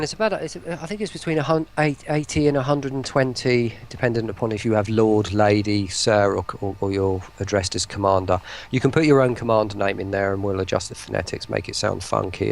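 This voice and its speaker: A man speaking as an interview guest on an online call, explaining things casually in a rambling, halting way. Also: on the low side, fairly even intonation, vocal fry. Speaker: interview guest, voice pack developer. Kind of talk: casual chat, explaining a product.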